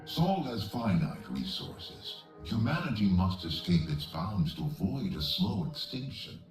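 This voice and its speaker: A man playing a grandiose, solemn corporate AI envoy in a video game, speaking formally with deliberate, portentous delivery. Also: low voice, sing-song, roomy sound, distant mic, music in the background, heavily acted. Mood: solemn, grand. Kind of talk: scripted video game cutscene monologue.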